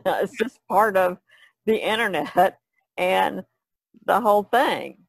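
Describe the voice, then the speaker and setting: Slow, creaky-voiced woman. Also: medium pitch, no room sound. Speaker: podcast panelist, artist. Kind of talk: casual conversational discussion.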